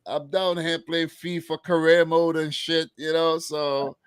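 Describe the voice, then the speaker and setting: A man in his mid-thirties co-hosting a football chat show, talking in a relaxed, rambling way full of "man" and "you know". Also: fairly high pitch, measured pace, clean tone, no room sound, studio quality. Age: 30s. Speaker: football podcast co-host. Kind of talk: casual chat.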